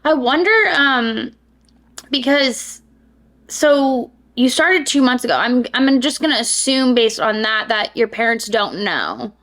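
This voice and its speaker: A woman's voice: on the high side, expressive, unhurried, fairly bright tone. A woman hosting a podcast interview, affirming her guest and asking open, curious questions. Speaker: podcast host. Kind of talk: conversational interview.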